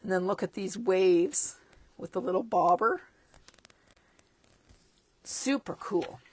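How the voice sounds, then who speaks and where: A woman's voice: medium pitch, slow. A woman demonstrating stamping supplies in a casual walkthrough of a catalog, chatty with chuckling asides, and losing her voice. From Canada. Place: tabletop craft desk indoors.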